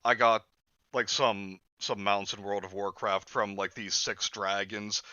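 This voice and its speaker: Wry guy hosting a podcast, sharp forceful voice, dry muffled mic. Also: lowish voice, flat delivery, unhurried, dry recording. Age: 30s. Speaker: podcast host. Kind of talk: casual podcast banter. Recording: muffled, dry close recording.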